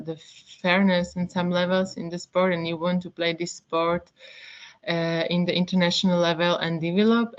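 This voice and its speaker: A woman from Slovakia giving a webinar lecture as a physiotherapist and classifier, with many fillers and restarts. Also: on the low side. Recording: dull lo-fi webinar audio.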